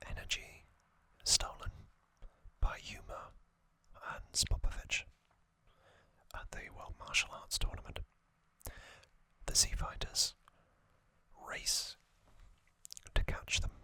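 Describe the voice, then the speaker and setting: An ASMR host whispering a relaxed trivia recap, soft and unhurried, with hesitant fillers and small self-corrections. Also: dry recording. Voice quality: fully whispered, breathy.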